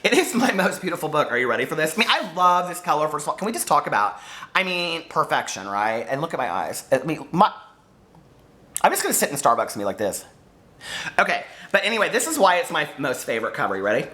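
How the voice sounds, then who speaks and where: A man in his forties chatting casually and excitably to viewers as a book vlogger, gushing and a little campy. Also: on the high side, fast talker. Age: 40s. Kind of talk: casual chat to viewers, book tag video.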